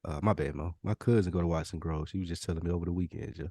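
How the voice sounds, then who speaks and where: Deep, fast-talking American man. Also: dark tone, no room sound. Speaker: podcast co-host. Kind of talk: casual chat.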